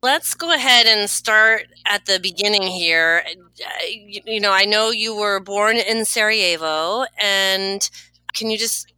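A woman's voice: mid-range voice, measured pace, sharp tone, clean tone, no echo, low-quality recording. A woman hosting an interview in a chatty, informal, conversational way. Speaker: interview host.

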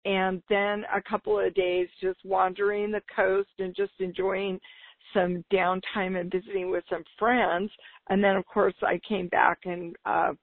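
A woman with a slightly raspy voice, talking informally and enthusiastically as the solo host of a business podcast. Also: mid-range voice, little pitch movement, a little slow, no echo. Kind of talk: upbeat solo podcast talk. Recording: dull lo-fi radio-like sound.

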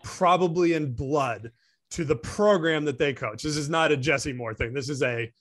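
A man's voice: a little high, moderate pace, fairly bright tone. A man co-hosting a swimming podcast, chatting casually and thinking aloud with frequent restarts. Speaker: podcast co-host. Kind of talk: casual sports podcast chat.

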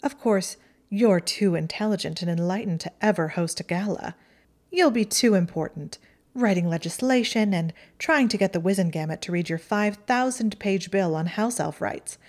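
A woman voicing a haughty, sharp-tongued teenage witch girl in a storybook reading, with a mocking, high-reaching delivery. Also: normal speed, natural voice. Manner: mocking, acidic, voice rises high.

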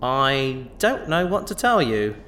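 A man's voice: medium pitch, big pitch swings, slow pace, bright, forceful tone, full character voice. A man playing an awkward, eager space adventurer sidekick in a sci-fi audio drama, earnest, bumbling and comically over-polite. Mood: playful.

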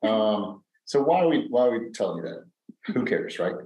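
A man in his fifties with a fairly low voice talks loosely in a casual podcast chat, rambling and stopping on plenty of fillers. The room around him has a noticeable echo. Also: moderate pace. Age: 50s. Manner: relaxed, rambling, with many fillers. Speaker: podcast co-host. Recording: echoey room.

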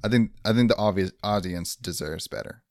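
A man's voice: low voice, a little fast, a little mellow, dry recording, studio quality. A man co-hosting a comedy podcast in casual chat, joking wryly and with mock boasts. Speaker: podcast co-host.

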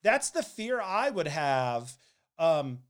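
Animated, candid storyteller in casual chat. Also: mid-range voice, slow pace. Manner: animated storytelling, frank and candid, laughs easily. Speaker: flight attendant. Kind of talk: casual conversation.

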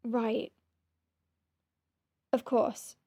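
A proud senior doctor at a space clinic , played by a woman, speaks in a slightly high voice. She is formal and literal, clipped in her phrasing, with kindness under the stiffness. It is audio drama, recorded close and dry. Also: level tone, drawn-out words, dark tone, slightly rough, no echo, exaggerated voice. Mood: tentative, softening.

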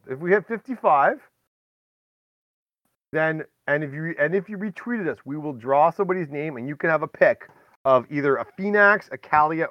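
A man's voice: a little high, no echo. Man hosting a podcast, dull dry sound. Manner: chatty, folksy exclamations, addresses listeners directly. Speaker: podcast host. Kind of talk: casual, rambling podcast chat.

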